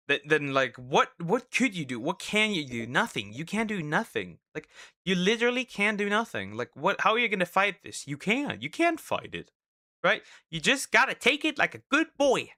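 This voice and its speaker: A man's voice: high voice, very animated, moderate pace, bright, forceful tone, dry recording, clean studio sound. A man casually reacting to a video, talking in a laid-back, repetitive way and often asking "right?". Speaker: VTuber host reacting to a video.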